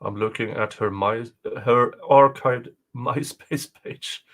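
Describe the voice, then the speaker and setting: A man in an unscripted, casual co-host role. His voice is middling in pitch with a bright, crisp edge, and he talks at a very slow, drawn-out pace. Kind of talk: casual chat.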